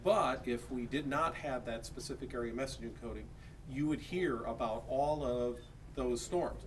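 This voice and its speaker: A man's voice: mid-range voice, normal speed. A man with an American accent explains products informally and plainly, like a shop salesman. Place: electronics store. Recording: distant mic, faint background noise.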